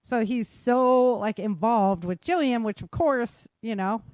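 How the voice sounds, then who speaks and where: A woman's voice: fairly high pitch, a little slow, a little mellow, dry recording. American woman hosting, close dry sound. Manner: explanatory, often checks in with "right?" and "you know". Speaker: podcast host and author. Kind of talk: casual podcast discussion.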